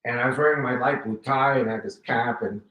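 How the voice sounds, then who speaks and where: A man in his seventies, American-born with Spanish as his first language, giving an animated personal testimony to a live audience and acting out quoted dialogue. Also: mid-range voice, fairly quick, distant mic, muffled recording. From United States. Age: 70s. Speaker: guest speaker giving testimony. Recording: roomy hall, voice picked up from afar.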